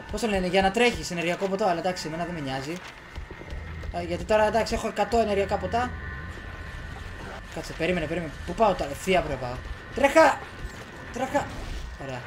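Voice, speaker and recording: A young man, giving casual let's play commentary in an excitable way, talking to himself and repeating words rapidly. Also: high voice, low-quality recording. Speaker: gaming YouTuber. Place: small room at home, indoors. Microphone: headset on, desktop USB condenser microphone. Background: horror game soundtrack and effects.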